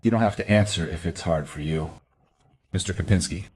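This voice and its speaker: A man's voice: low-pitched, brisk, darkish tone, a little hiss or hum, natural voice. An actor playing a courteous, restrained male police investigator in an audio crime drama. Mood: measured.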